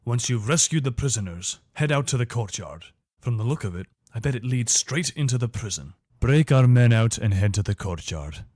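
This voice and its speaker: A man's voice: mid-range voice, animated, moderate pace, darkish tone, no room sound, close to an everyday voice. Guy voicing a wary, loyal imperial soldier for a game, earnest military type, very dry recording. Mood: earnest, steady. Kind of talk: video game character voice lines.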